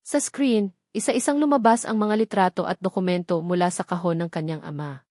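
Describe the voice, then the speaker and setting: A woman's voice: lowish voice, a little fast, darkish tone, no room sound, studio quality. A woman reading a scripted dramatic story as its narrator.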